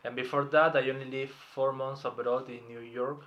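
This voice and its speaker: A man's voice: mid-range voice, level tone, normal speed, lo-fi sound. Reflective man from Peru, 30s. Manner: reflective, hesitant, with light laughs. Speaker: interview guest. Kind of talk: casual personal interview.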